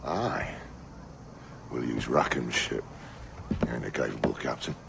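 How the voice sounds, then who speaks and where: An actor playing a bitter, hot-tempered pirate captain in a video game, with a gravelly voice and a blunt, scornful, cursing manner. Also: male voice, extremely low pitch, a little slow, heavily acted. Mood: gruff, scheming. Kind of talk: video game character acting. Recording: noisy game ambience behind voice.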